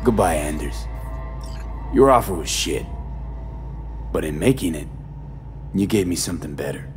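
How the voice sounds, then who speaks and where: Low-voiced, defiant doomed mercenary. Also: male voice, animated, moderate pace. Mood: resolute, bitter. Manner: blunt, coarse-mouthed. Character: defiant terminally ill adult male mercenary, bitter, resolute. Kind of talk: video game dramatic acting. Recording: music behind the voice. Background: game score.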